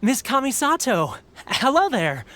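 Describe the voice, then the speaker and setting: A man's voice: very high voice, wildly swooping pitch, unhurried, pushed and bright, a little hiss or hum, full character voice. A man playing a welcoming, deferential festival stall keeper in a video game, courteous and eager to please.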